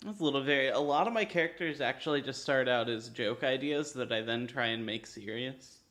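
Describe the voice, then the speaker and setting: A man with an average-pitched voice talks as a podcast co-host. His delivery is informal and conversational, meandering as he explains, with some hesitation. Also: fairly quick, fairly bright tone. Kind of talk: casual chat about tabletop role-playing.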